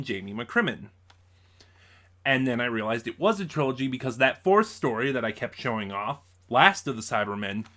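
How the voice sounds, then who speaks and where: Guy doing a casual unboxing vlog, bright forward voice, rambles and over-explains stuff. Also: mid-range voice. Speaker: YouTube vlogger, collector and reviewer.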